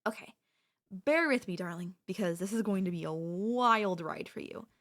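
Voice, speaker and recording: A woman playing an obsessive, doting yandere stalker in an ASMR audio roleplay, speaking in a coaxing, theatrical way. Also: lowish voice. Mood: patient, explaining. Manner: theatrical, coaxing, addresses listener as darling. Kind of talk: ASMR audio roleplay, girlfriend-style monologue.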